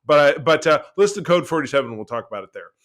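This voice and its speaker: A man co-hosting a podcast, reviewing TV in casual chat with an enthusiastic, emphatic and halting delivery that often repeats words. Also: on the high side, lively intonation, fairly bright tone. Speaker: podcast co-host.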